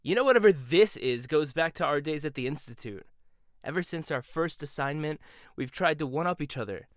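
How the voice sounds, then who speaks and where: A man's voice: fairly high pitch, lively intonation, fairly quick, no room sound, studio quality, close to an everyday voice. A man playing a proud, combative young head chef in an audio roleplay, talking in a sarcastic, confrontational way. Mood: mocking. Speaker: voice actor.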